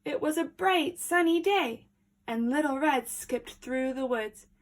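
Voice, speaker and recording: A woman storyteller reading aloud to children, expressive and deliberate, with dramatic emphasis. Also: high-pitched.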